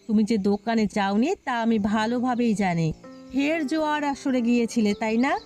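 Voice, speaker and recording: A woman's voice: a little high, brisk, darkish tone, soft background music, close to an everyday voice. A woman voicing a quarrelsome, suspicious village wife in a cartoon, with a scolding, accusing manner. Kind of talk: cartoon dialogue acting.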